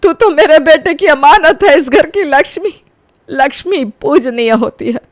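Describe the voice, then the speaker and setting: Woman acting a high-voiced, protective old mother-in-law. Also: dry recording, studio quality. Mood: tender, emphatic. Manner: emphatic, emotional, solemn. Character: wronged elderly mother-in-law, protective, sorrowful, resolute. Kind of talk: dramatic Hindi audio story acting.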